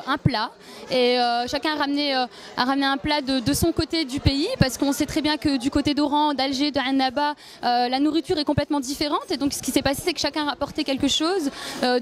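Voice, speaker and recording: A young woman with a high voice speaks into a handheld mic at an indoor gathering. She talks in halting bursts, stopping often to restart her sentences. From Algeria, M'sila province. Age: young adult. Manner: hesitant, many fillers and restarts. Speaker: youth association member. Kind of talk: on-camera interview at an event. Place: indoor event hall.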